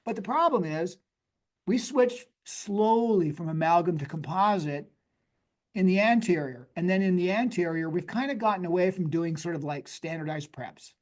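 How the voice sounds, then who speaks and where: High-pitched, slightly raspy man explaining. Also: expressive, normal speed, darkish tone. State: voice worn from days of talking. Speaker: guest expert, dentist and inventor. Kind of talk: conversational technical explanation.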